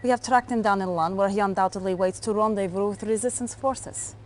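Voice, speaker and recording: A woman plays a commanding Soviet intelligence officer in a game cutscene. Her voice sits at a medium pitch, with a cold, clipped, controlled delivery. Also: a little fast, close to an everyday voice. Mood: commanding, calculating. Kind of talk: video game cutscene acting.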